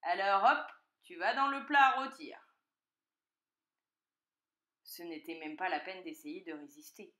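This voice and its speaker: A woman's voice: low voice, steady tone, normal speed, few pauses, a bit bright, echoey room, voice pushed down. A woman voicing a hungry, boastful and gullible male fox in a children's storybook, with a gloating, theatrical manner.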